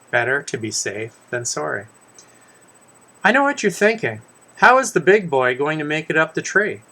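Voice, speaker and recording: A man's voice: medium pitch, pushed and bright. A man reads his own children's story aloud in the first person, conversationally, with casual asides and small self-corrections. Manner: conversational, stumbles and corrects himself.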